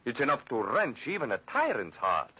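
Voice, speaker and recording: Pompous, volatile architect, wildly swooping delivery. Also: male voice, medium pitch, wildly swooping pitch, normal speed, bright, forceful tone, breathy edge, full character voice. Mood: slyly persuasive. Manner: pompous, theatrical, grandiose. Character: vain, violent, megalomaniac Italian master architect. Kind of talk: old-time radio drama acting. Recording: dull, lo-fi old radio recording.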